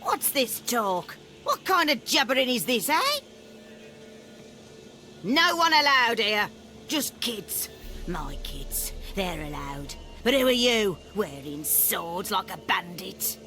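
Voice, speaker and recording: An actress playing an eccentric, suspicious old peasant granny in a video game, rambling and scolding in rustic speech. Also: female voice, medium pitch, big pitch swings, takes it slowly, bright, forceful tone, soft background music, heavily acted.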